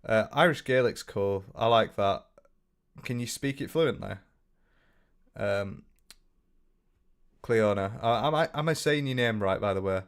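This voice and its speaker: A man's voice: lowish voice, a little slow, long pauses, clean tone. A young man with a northern English accent chatting casually on a livestream, reading out viewer comments with lots of restarts and the odd swear. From north of England. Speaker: streamer.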